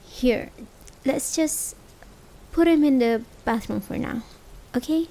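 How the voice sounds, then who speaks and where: A woman's voice: high voice, slow, warm tone. A woman acting a caring, worried, hesitant partner in a realistic slice-of-life ASMR roleplay. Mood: reluctant, thoughtful. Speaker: amateur voice actor. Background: rain sound effects.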